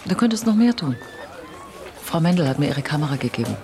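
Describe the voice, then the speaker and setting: An actress playing a determined, adventurous heroine in a radio drama, with a creaky edge to the voice. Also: female voice, low voice, very animated, fast, a crowd in the background.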